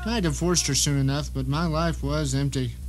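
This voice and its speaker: A man acts out a bitter, paranoid blind writer in an audio drama, his voice pitched high. He tells his own story with wry grumbling and theatrical pauses, over clearly audible rain and ambient noise. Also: steady tone, close to an everyday voice. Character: bitter, paranoid blind male novelist telling his nightmare. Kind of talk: first-person dramatic audio drama narration.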